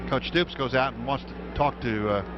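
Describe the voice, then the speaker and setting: American man, football play-by-play announcer, middle-pitched voice, live radio call, muffled lo-fi broadcast. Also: normal speed. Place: stadium radio booth. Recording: dull, noisy old radio broadcast.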